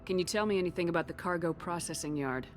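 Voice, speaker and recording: Here is a woman playing a steady game commander with a lowish voice. She is measured, direct and probing, over quiet background music. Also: natural voice. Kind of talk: video game voice acting.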